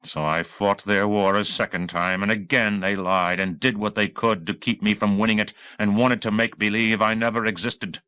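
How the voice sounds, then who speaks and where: An embittered commando veteran voiced by a male audiobook reader speaks in a low voice. He is brooding and defiant, placing his words deliberately as a man bent on vengeance. The sound is close and dry. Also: little pitch movement, bright, forceful tone, clear, resonant tone, dry recording, poor audio. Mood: bitter. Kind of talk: dramatic audiobook reading.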